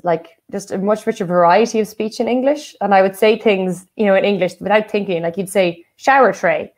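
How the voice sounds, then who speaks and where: A woman's voice: medium pitch, brisk. An Irish woman, a writer and translator, talking as a guest in a casual interview and thinking aloud with many fillers. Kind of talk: casual conversation.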